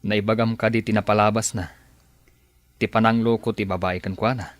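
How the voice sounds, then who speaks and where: A man's voice: lowish voice, steady tone, normal speed, no echo, close to an everyday voice. A man acting a persuasive, scheming boss in an Ilocano radio drama, with an Ilocano Filipino accent. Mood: coaxing, probing.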